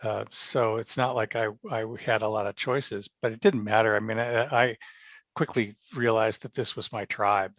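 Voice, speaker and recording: A man with a creaky, gravelly voice talks as an interview guest. He is reflective and casual, pausing as he works through his thoughts. The sound is dry and somewhat dull and lo-fi. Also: lowish voice, steady tone, a bit bright, no room sound. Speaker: podcast guest, amateur theater member.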